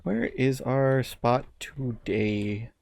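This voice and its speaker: A young man with a mid-pitched voice speaks as a podcast co-host. He chats casually, with informal, slangy phrasing. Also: very slow, creaky, a little mellow, dry recording. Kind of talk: casual chat.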